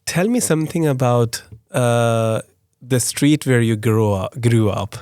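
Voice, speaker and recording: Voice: medium pitch, takes it slowly, a little mellow, clear, resonant tone, no echo, clean studio sound. A podcast host interviewing a guest, encouraging her with frequent "yeah"s and restarting his questions. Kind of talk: interviewer chat.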